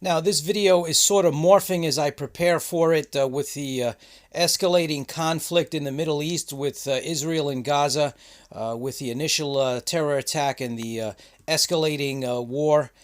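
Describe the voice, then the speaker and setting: A man hosting a ham radio channel, explaining in a casual, halting way with many filler sounds. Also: a little high, steady tone.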